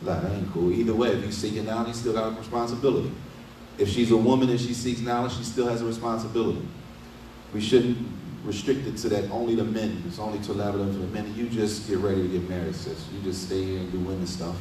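A man's voice: on the low side, fairly even intonation, quick pace, warm tone, slight background noise. A middle-aged man giving a casual Islamic lecture, asking rhetorical questions and often adding "right?". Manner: conversational, asks rhetorical questions with frequent "right?". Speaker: imam giving a lesson. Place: small indoor study room. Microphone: desk mic on a stand. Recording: echoey room, mic at a distance.

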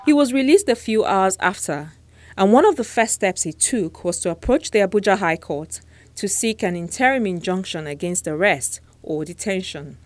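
A woman's voice: mid-range voice, expressive, moderate pace, no echo. A woman in her thirties with a Nigerian accent, presenting and interviewing for a television news programme. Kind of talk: scripted news narration and interview.

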